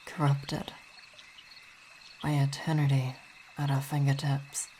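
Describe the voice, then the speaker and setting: A woman voicing a weary, devoted vampire ruler and wife in an ASMR roleplay, speaking softly, often in a whisper, with halting, reflective phrasing and sighs. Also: a bass voice, flat delivery, slight background noise, poor audio. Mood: worried, earnest.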